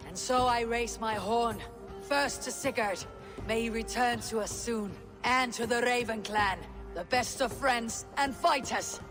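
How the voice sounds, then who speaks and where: A woman voicing a confident Viking clan leader who speaks in a measured, declamatory way. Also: on the high side, natural voice. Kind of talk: video game cutscene acting. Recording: reverberant mix with music.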